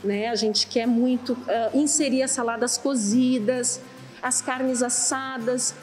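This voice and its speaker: A woman's voice: on the high side, steady tone, takes it slowly, a little mellow, soft background music, poor audio. A woman in her thirties with a Brazilian Portuguese accent talks chattily in an interview in a large kitchen, speaking into a handheld mic and tagging sentences with "né". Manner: chatty, frequent "né" fillers. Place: large kitchen with steel tables. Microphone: handheld reporter mic.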